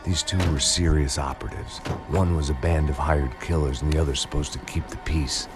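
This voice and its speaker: A bottomlessly low American male voice for a washed-up, bitter ex-cop in a video game. He narrates in sardonic, deadpan noir fashion, fatalistic and world-weary, with loud music playing behind him. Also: brisk. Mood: brooding, suspicious. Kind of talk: hard-boiled noir scripted narration.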